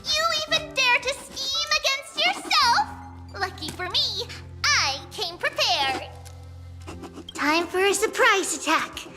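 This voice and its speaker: Very high-pitched playful little girl. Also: very animated, takes it slowly, pushed and bright, exaggerated voice. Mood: teasing. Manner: singsong, speaks of herself in third person. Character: playful mischievous little girl, one of many identical selves. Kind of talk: video game voice acting. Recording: music behind the voice.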